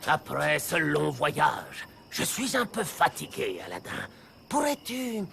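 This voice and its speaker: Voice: mid-range voice, wildly swooping pitch, gravelly, a bit bright, slight background noise, exaggerated voice. An actor playing a scheming evil sorcerer who poses as a kindly uncle in a French cartoon fairy tale, with a commanding, theatrical delivery. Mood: falsely kind, instructing. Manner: slow, commanding, theatrical incantations.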